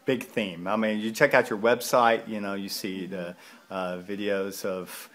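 A man interviewing someone casually, with halting, informal questions. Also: lowish voice, steady tone, fairly bright tone. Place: indoors at home. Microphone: handheld phone, close behind the camera.

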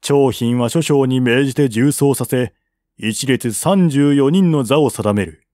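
A man reading a historical novel aloud as scripted narration, in a formal, measured literary manner. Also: medium pitch, warm tone, no room sound, clean studio sound. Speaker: audiobook reader.

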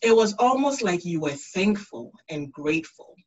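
A woman's voice: on the low side, animated, moderate pace, slightly rough, poor audio. A woman in her thirties hosting an interview over a video call from home, affirming her guest often. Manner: warm affirming interviewer, many mm-hmms. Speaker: interviewer, show host. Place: room at home. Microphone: video call webcam.

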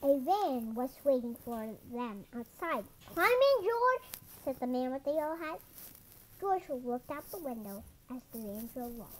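A storybook reader doing an expressive children's picture-book read-aloud, sometimes stumbling over words, recorded indoors at a table. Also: sits high, a little slow, a little hiss or hum, rough recording. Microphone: camera over the book.

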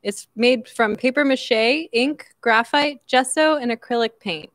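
A woman's voice: a little high, measured pace, fairly bright tone, no room sound, clean studio sound. Young woman announcing auction items. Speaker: auction presenter. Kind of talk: reading item descriptions from notes. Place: outdoor porch stage at an event.

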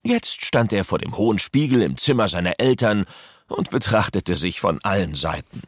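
A man's voice: fairly low pitch, expressive, normal speed, dry recording, clean studio sound. A man narrating a scripted children's audio drama as its storyteller.

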